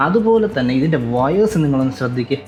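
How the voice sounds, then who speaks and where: A man's voice: medium pitch, animated, fast talker, mellow, faint music behind. A man giving a casual, explanatory unboxing review, often repeating and rephrasing himself as he goes. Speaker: tech vlogger and product reviewer.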